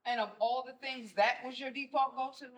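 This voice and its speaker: A woman's voice: high-pitched, steady tone, fairly quick. A woman playing a stern, protective mother in a stage play, scolding and pressing with pointed questions. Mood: exasperated. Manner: forceful, scolding, rhetorical questions.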